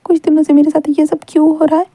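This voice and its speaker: Woman voicing a crying jealous young man, high and strained, stammering, dry close sound. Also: flat delivery, mellow, no echo. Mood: tearful. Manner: crying, pleading, halting with stammers.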